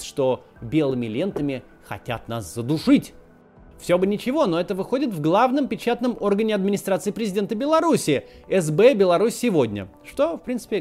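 A man's voice: high-pitched, darkish tone. A man hosting a political video, delivering scripted commentary with ironic, sardonic asides.

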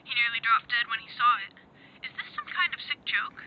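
Radio drama caller: an indignant, wary granddaughter, highish voice through a noisy telephone line. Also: female voice, lively intonation, brisk. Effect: telephone filter. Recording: muffled, very noisy phone line.

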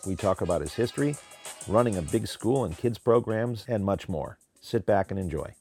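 American male podcast host, lowish voice, Midwest upbringing, faint music. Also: lively intonation, moderate pace. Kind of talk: casual interview chat, with a read-out intro.

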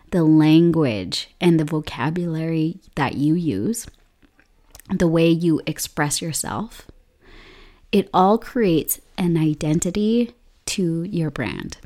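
A young woman hosting a solo podcast, her voice hoarse from illness, upbeat and chatty with frequent laughs. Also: sits low, slow pace, dark tone. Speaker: podcast host.

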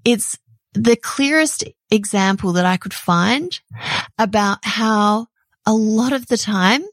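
A middle-aged woman hosting a solo podcast, talking in an animated, chatty way with a loud voice and frequent laughs. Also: mid-range voice, no room sound. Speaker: podcast host.

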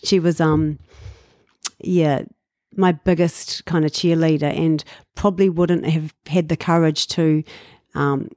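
A New Zealand woman in her fifties, telling her life story in a relaxed interview, reflective with frequent fillers and light laughs. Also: low-pitched, slow, no room sound, clean studio sound. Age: 50s. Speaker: guest. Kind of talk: casual interview chat.

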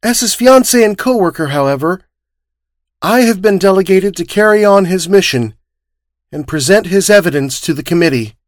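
A man's voice: high-pitched, expressive, moderate pace, no room sound, clean studio sound, voice pushed up. A man reading aloud voices a grief-stricken but steely young woman with a strained, formal delivery.

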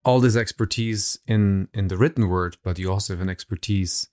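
A man's voice: on the low side, a little fast, darkish tone. A male podcast host, not a native English speaker, asking musing, searching interview questions. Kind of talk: interview conversation.

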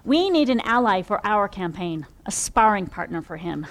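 A woman acting in an audio drama as a brisk, exacting strategist in charge, direct and businesslike. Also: medium pitch, sing-song. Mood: brisk, concerned.

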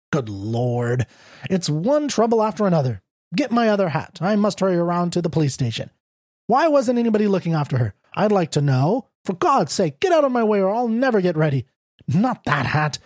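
A reader voicing a flustered mother who talks in a hurried, exasperated rush. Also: mid-range voice, big pitch swings, darkish tone, no room sound, studio quality, pitched above the performer's own voice. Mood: frantic. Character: flustered, bustling mother in a panic.

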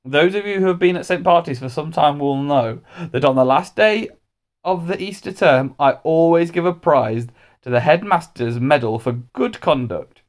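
A man's voice: on the high side, expressive, normal speed, voice pushed up, close to an everyday voice. A man voicing a kindly, pompous male headmaster making a formal speech in a storybook. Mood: ceremonious.

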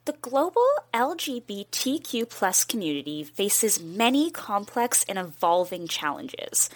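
A young woman hosts and narrates a radio-style news feature from a written script. Her delivery is clear and even, and her voice sounds close and dry with no room around it. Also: mid-range voice, unhurried, no room sound. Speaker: reporter and host.

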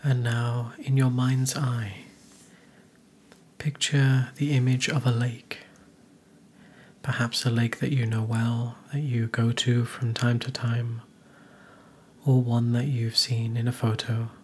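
A man narrating a guided meditation in a soothing, gentle, hushed manner with soft, breathy phrase endings. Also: medium pitch, little pitch movement, slow pace, long pauses, slight background noise.

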